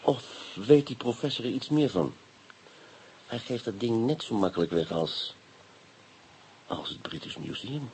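Radio drama: a determined police inspector, probing and firm, warm mellow tone. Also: male voice, mid-range voice, animated, measured pace, pauses often, slight background noise, low-quality recording. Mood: thoughtful. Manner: sharp, insistent, probing.

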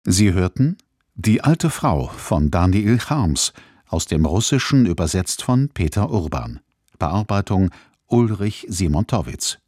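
A male radio announcer delivers scripted credits. His voice sits slightly low and moves with lively intonation, in a dry, close-sounding recording. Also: unhurried, slightly rough, no echo.

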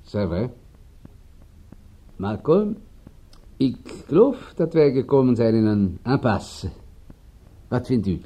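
Donkere, aarzelende Franse inspecteur. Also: male voice, mid-range voice, very animated, warm tone. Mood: puzzled. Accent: French. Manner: halting, polite speech with inverted word order. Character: courteous, hesitant French police inspector. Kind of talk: radio drama acting.

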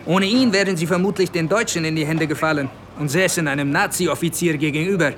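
A man playing a gruff, sarcastic army captain in a German radio play, blunt and given to swearing. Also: fairly high pitch, quick pace, lightly acted.

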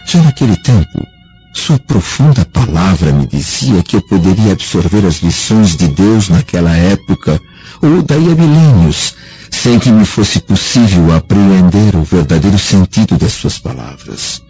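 Radio drama senator: aging, remorseful, declamatory, badly husky, music behind a dull recording. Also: male voice, sits low, very animated, unhurried, dark tone, full character voice. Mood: rueful. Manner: solemn, declamatory, rueful. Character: remorseful aging Roman senator, solemn and reflective father. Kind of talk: Brazilian radio drama acting.

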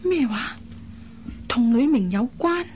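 An actress playing a worried, caring young woman in a radio drama, with an earnest, expressive delivery. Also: on the high side, takes it slowly. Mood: startled. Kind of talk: Cantonese radio drama acting. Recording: dull lo-fi recording, faint noise.

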